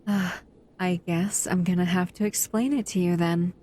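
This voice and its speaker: A woman voicing a bored, predatory female humanoid monster who talks in a slow, teasing, condescending way in an audio roleplay. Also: lowish voice, fairly even intonation, darkish tone, natural voice. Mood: explaining.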